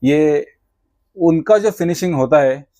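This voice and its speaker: A man's voice: fairly high pitch, slow pace, dark tone, dry recording, clean studio sound. A man with an Indian accent, speaking as an enthusiastic vlogger in a casual product review chat that mixes two languages. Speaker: vlogger interviewing a shop owner about a product.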